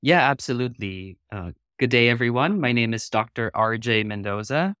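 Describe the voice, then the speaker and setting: A man's voice: mid-range voice, animated, moderate pace, fairly bright tone, smooth, no room sound, studio quality. A man, speaking as an interview guest and recounting his path. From Philippines. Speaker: podcast guest, pharmacy resident.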